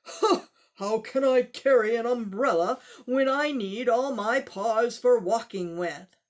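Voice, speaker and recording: A woman voicing a boastful, fierce male tiger in a children's story, with a growling, drawn-out delivery. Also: a little high. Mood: menacing.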